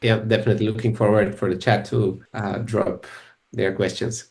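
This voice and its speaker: A man's voice: lowish voice, little pitch movement, moderate pace, darkish tone. A man from Bulgaria, a company founder, explaining in a webinar with fillers and step-by-step lists. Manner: explanatory, with fillers and listing steps. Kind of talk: live webinar conversation. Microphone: video call.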